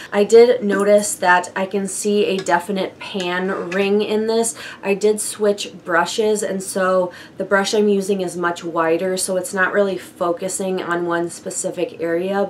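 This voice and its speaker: A young woman with a froggy, hoarse voice from a chest cold, chatting casually through a beauty product update with light laughs and fillers. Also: mid-range voice, a little hiss or hum. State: hoarse from bronchitis. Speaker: beauty vlogger.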